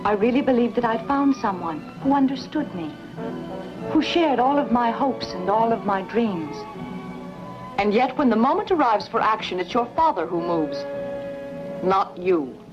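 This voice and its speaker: A woman's voice: fairly high pitch, expressive, moderate pace, close to an everyday voice. A woman playing an earnest, wounded young lover in a melodramatic dubbed film. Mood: hurt, reproachful. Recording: muffled film audio under clear music.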